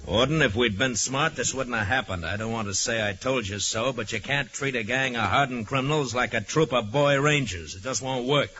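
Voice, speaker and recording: A man's voice: mid-range voice, a little fast, few pauses, sharp tone, natural voice. A man playing a hard-line, scornful prison captain, blunt and accusing, in 1940s radio drama style. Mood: gruff, scornful. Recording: noisy, dull vintage 1940s radio recording.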